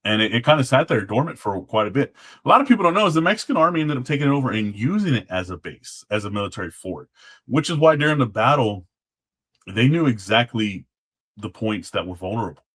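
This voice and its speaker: A man's voice: medium pitch, animated, quick pace, fairly bright tone, slightly rough. A man speaking as a guest, casually explaining history in an informal way, feeling his way through what he remembers. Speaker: guest, former Alamo guard.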